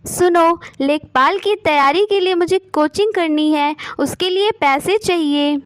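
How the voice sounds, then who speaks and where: A woman's voice: very high voice, a little slow, dry recording. A woman voicing a selfish, ambitious young wife in a cartoon moral story, with dramatic delivery. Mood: demanding.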